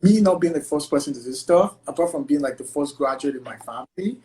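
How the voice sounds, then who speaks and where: Young Nigerian-accented man, animated and talkative guest on a video call. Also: fairly high pitch, quick pace. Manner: animated, chatty, many fillers like "like" and "you know". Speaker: guest, entertainment lawyer. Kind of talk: casual interview conversation.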